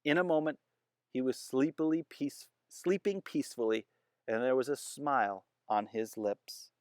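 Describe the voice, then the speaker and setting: A reader narrating a children's chapter book aloud with expressive delivery. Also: medium pitch, normal speed, clear, resonant tone, no echo, studio quality. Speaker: storybook reader.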